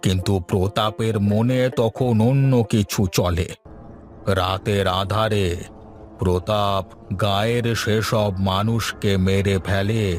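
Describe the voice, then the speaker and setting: Man voicing a grave old village grandfather, low-pitched. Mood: grim. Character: sorrowful old village grandfather, newly brave. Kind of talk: cartoon moral-story acting.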